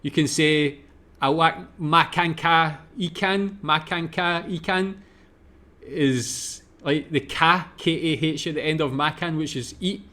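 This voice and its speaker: A man's voice: fairly high pitch, takes it slowly, bright, forceful tone. A Scottish man with a Glasgow accent, thinking aloud as he reacts to a video and asks his viewers questions. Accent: Glaswegian Scottish. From Scotland. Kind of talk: casual reaction commentary.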